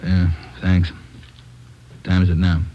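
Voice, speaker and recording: Male American police chief, lowish and halting, businesslike, on a dull, faintly noisy vintage radio recording. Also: completely flat delivery. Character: gruff, hesitant male senior police supervisor. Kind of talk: 1940s radio drama acting.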